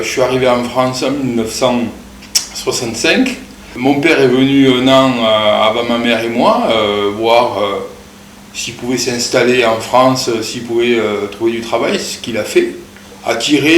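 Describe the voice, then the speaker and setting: A man in his fifties, born in Portugal, speaks at a middle pitch in a halting, unhurried way, pausing often and filling gaps with small sounds. His voice carries a noticeable room echo. A faint ambient hum sits underneath. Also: a bit bright. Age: 50s. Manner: hesitant, many pauses and filler sounds. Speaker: interviewee telling his family's migration story. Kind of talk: casual spoken recollection.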